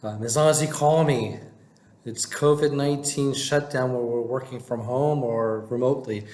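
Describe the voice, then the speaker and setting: A man talking casually to camera as a vlogger giving advice, with halting delivery and frequent hesitations. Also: medium pitch, steady tone, a little mellow. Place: indoor room with plain wall, working from home. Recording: echoey room with faint background noise.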